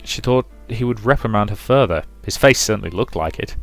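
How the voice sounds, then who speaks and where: A man's voice: lowish voice, lively intonation, fairly quick, faint music behind. A man doing a let's play, reading a visual novel aloud and dropping in casual, chatty asides.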